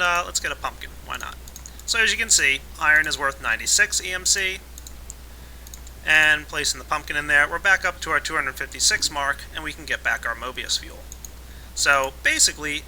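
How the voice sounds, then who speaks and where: A man with a raspy voice today, casually explaining a modded game as its let's play host, with relaxed fillers. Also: a little high, slight background noise, rough recording. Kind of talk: casual gameplay tutorial narration.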